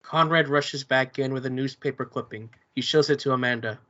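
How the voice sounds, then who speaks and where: A man reading the stage directions at a play table read in a plain, steady way. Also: medium pitch, a little fast. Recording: muffled lo-fi recording.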